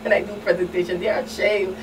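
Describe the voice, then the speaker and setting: A woman's voice: mid-range voice, steady tone, fast. A woman answering as a guest expert, earnest and conversational, circling back and checking that she is understood. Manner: earnest, repetitive, often adds "you understand". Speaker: community outreach specialist, guest expert. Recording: distant mic, clear background noise.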